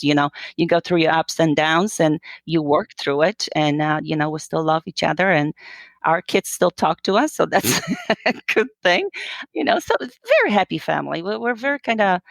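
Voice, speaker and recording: A woman's voice: on the low side, vocal fry, no echo. A woman in her fifties from Poland, a guest telling her story in a casual interview, chatty, with many fillers and restarts.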